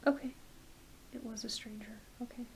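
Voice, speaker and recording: A soft-spoken woman, often dropping into a whisper, gently playing a reassuring doctor in an ASMR roleplay. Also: on the high side, fairly even intonation, normal speed. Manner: gentle, reassuring, hesitant questioning. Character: kindly, attentive doctor examining a patient. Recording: hissy close home recording.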